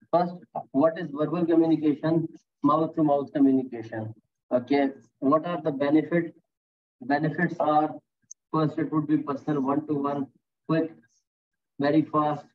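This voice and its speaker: A male safety instructor lectures his class over a video call and keeps things encouraging, echoing his students' answers back to them. His voice sits a little high for a man. The audio is thin and tinny, like a phone line. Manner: encouraging, repeats students' answers, frequent "okay" and "very nice". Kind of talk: online lecture with class questions. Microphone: computer mic on a video call.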